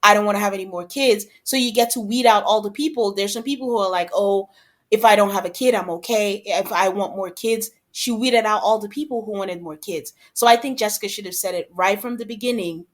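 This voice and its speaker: A woman's voice: medium pitch, fairly quick. Casual, chatty woman podcast co-host. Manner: conversational, acts out imagined quotes. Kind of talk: casual discussion of reality TV.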